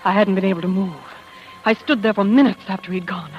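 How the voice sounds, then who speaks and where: An actress playing a shaken young wife who narrates a murder discovery in a hushed, dramatic 1940s radio style. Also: female voice, medium pitch, fast talker, muffled recording, lightly acted. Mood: haunted, tense. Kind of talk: 1940s radio acting, first-person suspense narration. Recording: old radio recording with music underneath.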